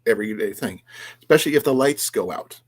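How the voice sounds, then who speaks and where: A man with a slightly raspy voice hosting a casual livestream, talking in a halting, rambling way with restarts and trailing asides as he chats with viewers. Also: lowish voice, animated. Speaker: livestream host of an outdoors and preparedness channel. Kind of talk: casual livestream chat, reading and answering viewers' comments.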